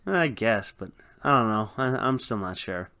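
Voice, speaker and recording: A man's voice: fairly low pitch, animated, normal speed, pushed and bright, smooth. A man co-hosting a radio show with scripted comic banter.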